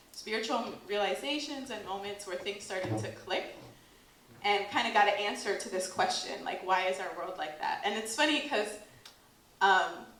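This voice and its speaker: A woman's voice: on the high side, fairly bright tone. Chatty American woman in an echoey hall. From United States. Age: 30s. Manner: chatty, many "like" fillers, laughs often. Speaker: workshop speaker. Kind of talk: casual talk to an audience. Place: event hall with an audience.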